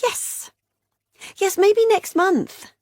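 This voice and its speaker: A gushing, faintly irritating sister, voiced by a woman narrator, chirps in a very high sing-song voice. The recording is dry and close. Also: very slow, darkish tone, no room sound, heavily acted.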